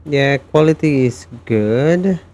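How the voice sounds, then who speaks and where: A man's voice: medium pitch, animated, takes it slowly, a little mellow. A man hosting a casual gaming live stream, talking hesitantly and muttering to himself as he plays. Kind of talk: casual live stream chat while playing a game.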